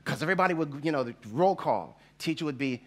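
A man in his forties from Jamaica with a slight Jamaican accent, a pastor preaching to a church audience through a headset mic, telling his life story in an animated way and acting out the voices of others. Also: fairly high pitch, fast. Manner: animated, acts out quoted voices, laughs as he tells. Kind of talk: storytelling sermon. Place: large church hall stage with audience.

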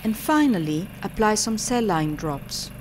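A woman's voice: mid-range voice, sing-song, measured pace. A woman reading scripted step-by-step instructions for a medical training film. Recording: clearly noisy background ambience.

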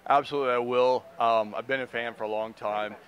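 A middle-aged man hosting an excited, casually swearing backstage interview at an outdoor festival, on a handheld mic. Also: mid-range voice, a little fast. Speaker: interview host.

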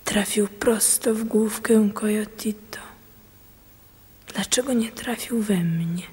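An actress playing a broken, submissive grieving wife in a radio drama. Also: female voice, medium pitch, takes it slowly, warm tone, breathy edge. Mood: numb grief. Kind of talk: radio play acting. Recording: radio drama with long reverberation.

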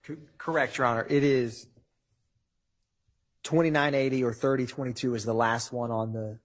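A man arguing to a judge as a prosecutor in a courtroom, formal but halting, with a husky voice. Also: mid-range voice, fairly even intonation. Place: large wood-paneled courtroom.

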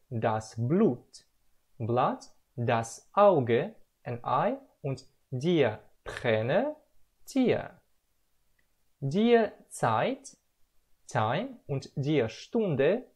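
A young man from Russia, explaining the words he has learned slowly and carefully in a self-recorded lesson at home on a webcam. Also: mid-range voice, darkish tone, breathy edge. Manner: slow, careful, drawn-out word-by-word explanation. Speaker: language learner presenting his progress.

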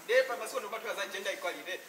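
A man's voice: sits high, expressive, very fast, fairly bright tone. A young man with a Malawian accent making his point in a group discussion through questions he answers himself. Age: young adult. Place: indoor room. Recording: echoey, distant, clear background noise.

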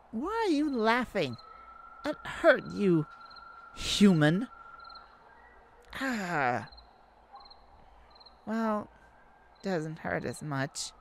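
A woman voicing a wounded fallen-star alien in a roleplay audio drama, proud, wry and bewildered by humans, speaking haltingly in slightly formal wording. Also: mid-range voice, fairly bright tone, slight background noise, voice pushed up, exaggerated voice. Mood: pained, grudging.

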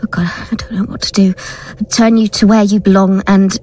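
A woman's voice: mid-range voice, level tone, fairly quick, warm tone, lightly acted. A woman playing a nervous, earnest girlfriend dabbling in ghost-banishing spells in an audio drama. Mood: desperate chanting. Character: anxious abused girlfriend turned ghost-banishing conjurer.

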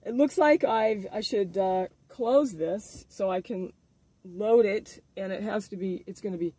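A man speaking casually as the host of an informal religious video update, halting as he thinks aloud. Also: high-pitched, dark tone, slightly rough, dry recording.

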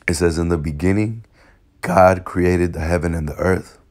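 A very deep-voiced man, hoarse and raspy from a cold, gives a casual bible lesson. He talks haltingly, stalls on repeated words and fills gaps with fillers while reading verses aloud. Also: steady tone, a little mellow. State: hoarse from illness. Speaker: religious teacher. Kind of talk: informal bible lesson over screen recording. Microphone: phone screen recording.

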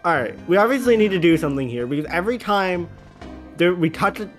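A woman's voice: on the low side, lively intonation, quick pace. A woman in an improvised game roleplay, protesting in an exasperated way and often repeating her phrases. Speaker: player in a Minecraft roleplay. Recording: faint music behind the voice.

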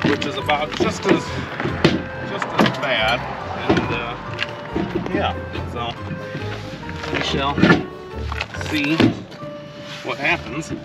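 A man in his thirties narrating a casual work vlog about hauling campers, relaxed and unhurried with lots of fillers. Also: mid-range voice. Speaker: towing business owner. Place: truck cab and outdoor work yard. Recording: noisy with vehicle and engine hum.

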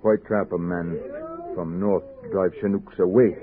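An earnest young warrior in an old radio drama. He speaks slowly and solemnly in halting, broken English, low-voiced, on a thin, phone-like recording. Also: fairly even intonation, a crowd in the background. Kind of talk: 1960s radio drama acting.

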